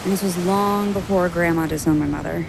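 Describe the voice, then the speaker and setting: Creaky, wry young traveller. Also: female voice, on the low side, brisk. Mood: uneasy. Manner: casual, dry. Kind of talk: audio drama acting. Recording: noisy ship ambience.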